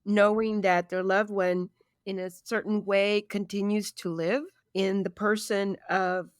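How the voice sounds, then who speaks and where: A woman's voice: medium pitch, flat delivery, unhurried, clear, resonant tone, no room sound, studio quality. A woman hosting a talk show interview, asking questions and responding with empathy. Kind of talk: interview host.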